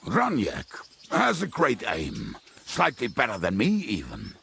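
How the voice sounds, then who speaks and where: A roguish medieval mercenary for a video game. His voice is low and gravelly, and it swings widely up and down as he talks. He is blunt, colourful and jovial in a wry, foul-mouthed way. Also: huge pitch swings, unhurried, bright, forceful tone, slight background noise, exaggerated voice. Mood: animated. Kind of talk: dramatic video game dialogue.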